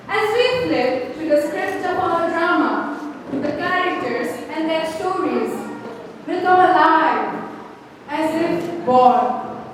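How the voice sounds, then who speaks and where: A young woman giving formal, declamatory scripted narration to introduce a stage play. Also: high voice. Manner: declamatory, deliberate phrasing. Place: large hall, stage presentation to an audience. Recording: echoey hall from far off, faint noise.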